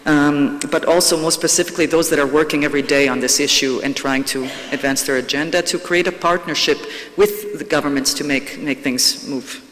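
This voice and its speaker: A man gives a conference talk in a slightly high voice, pausing on fillers and restarting phrases. His voice rings out with the long reverb of a large hall. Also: steady tone, a bit bright. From Croatia. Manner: hesitant, many fillers and restarts. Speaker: panel speaker.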